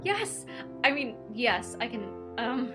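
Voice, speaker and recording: Woman as a timid, high-voiced teenage outcast creature. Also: a little slow, over music. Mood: eager. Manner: hesitant, stammering, excitable. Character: timid, eager seventeen-year-old outcast girl canid creature. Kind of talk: audio story character dialogue.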